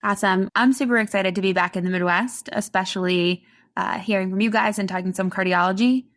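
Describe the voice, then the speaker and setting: A woman's voice: medium pitch, steady tone, a little fast, fairly bright tone. A bubbly woman joins as guest host and speaks in an eager, friendly way. Her voice sits in a typical middle range for a woman.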